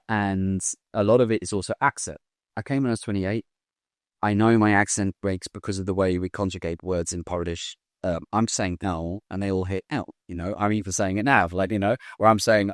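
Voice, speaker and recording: A British man in his thirties talking in a casual interview, blunt and animated, swearing now and then. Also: low voice, brisk, no room sound, clean studio sound. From Britain. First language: English. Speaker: guest.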